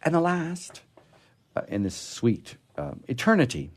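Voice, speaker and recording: A man reading poetry aloud in a measured way and commenting on it casually, as on a radio program. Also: on the high side, big pitch swings, gravelly.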